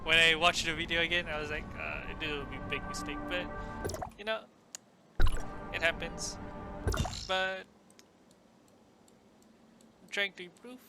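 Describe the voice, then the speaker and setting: A man's voice: a little high, steady tone, unhurried, vocal fry, pauses often. A man whose first language is not English, giving chatty, self-deprecating commentary on a video game he is playing. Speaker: gamer. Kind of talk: casual let's-play commentary. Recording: loud game music behind.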